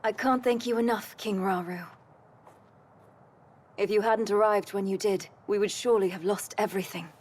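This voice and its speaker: An actress playing a dignified, determined young warrior princess in a game cutscene, with formal, earnest delivery. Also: female voice, medium pitch, fairly even intonation, normal speed, long pauses, slight background noise, lightly acted. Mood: grateful. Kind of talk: video game cutscene acting.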